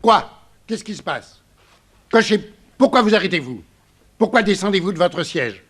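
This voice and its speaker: A man's voice: sits high, lively intonation, moderate pace, fairly bright tone, slightly rough, heavily acted. An actor playing a jovial, blustering businessman in his sixties, with a hearty theatrical delivery in a 1960s French radio play. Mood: brisk, impatient. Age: older. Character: jovial, brisk 61-year-old businessman and fond father.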